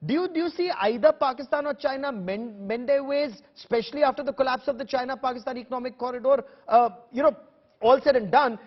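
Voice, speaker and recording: High-pitched, insistent Indian man hosting. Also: in a large hall, dull audio. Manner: forceful, insistent, interrupting. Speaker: news debate host. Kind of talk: combative TV news debate moderation.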